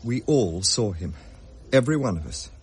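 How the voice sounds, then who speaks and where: An actor playing an English gentleman who recounts a ghostly tale in a measured, old-fashioned audio drama style. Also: on the low side, very animated, breathy edge, exaggerated voice. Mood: grave, haunted. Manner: measured, genteel, grave.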